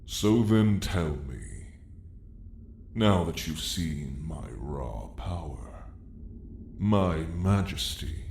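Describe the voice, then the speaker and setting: A man voicing an ancient, arrogant dragon roused in his lair, speaking with slow, imperious menace in an ASMR roleplay story. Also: low voice, creaky, dark tone, voice pushed down, exaggerated voice. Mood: commanding, haughty. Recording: echoing cavernous sound, faint noise.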